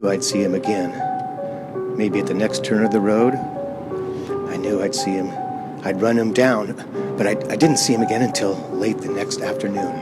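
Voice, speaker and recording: A man's voice: fairly low pitch, steady tone, normal speed, pauses often, loud music behind the voice, pitched below the performer's own voice, natural voice. A man playing an anxious, haunted driver who narrates his dread tensely and hesitantly in a radio play. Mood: brooding dread. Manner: tense, hesitant, dramatic repetition.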